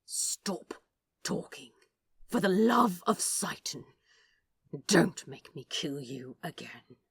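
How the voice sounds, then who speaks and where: A gruff male demon from an audio storybook, acted out by a woman, sardonic and wounded. The voice sits very low and drags slowly, with a gravelly edge to every phrase. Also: sing-song, dry recording, full character voice. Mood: strained, pleading. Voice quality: gravelly, husky. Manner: slow, sardonic, swearing drawl.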